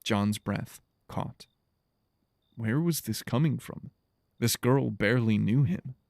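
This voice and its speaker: A man narrating a scripted story in a measured, solemn storytelling manner. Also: mid-range voice, gravelly, darkish tone, no room sound, studio quality.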